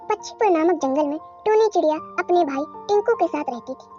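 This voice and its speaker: A woman's voice: very high voice, lively intonation, fairly quick. A woman narrating a scripted children's cartoon story. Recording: dull audio over soft music.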